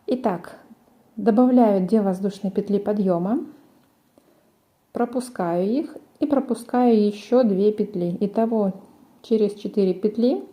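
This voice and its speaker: A woman's voice: mid-range voice, warm tone. A woman presenting a crochet tutorial, explaining each step in a methodical way. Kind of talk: step-by-step craft instruction.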